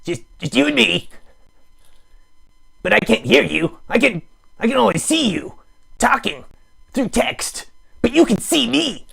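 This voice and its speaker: A man voicing a needy, melodramatic puppet streamer, theatrical, sarcastic and mock-grand, with a gravelly, husky voice, improvising a comic livestream. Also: very high voice, big pitch swings, measured pace, long pauses, fairly bright tone, heavily acted. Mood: excited, mock-grand. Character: melodramatic, needy puppet live streamer with big wry bravado. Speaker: host of a live puppet show stream.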